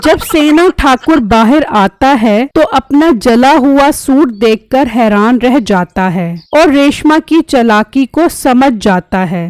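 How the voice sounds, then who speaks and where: A woman's voice: sits high, animated, takes it slowly, hardly any pauses, darkish tone. A woman reading scripted narration for a story as its narrator.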